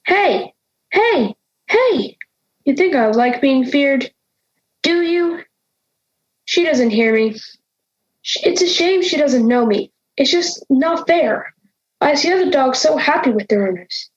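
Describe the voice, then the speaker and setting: A woman's voice: high voice, lively intonation, slow pace, pauses often, muffled recording. A young woman playing a misunderstood wolf in an audio drama, plaintive and protesting, hurt at being feared. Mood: indignant, hurt.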